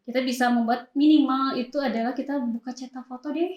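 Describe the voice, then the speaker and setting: A woman's voice: sits high, steady tone, fast, darkish tone. A woman, a school department head explaining things informally, point by point. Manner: explains point by point, frequent confirming tags. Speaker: vocational school multimedia department head, guest. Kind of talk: informal explanatory interview answers. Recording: echoey room, distant from mic.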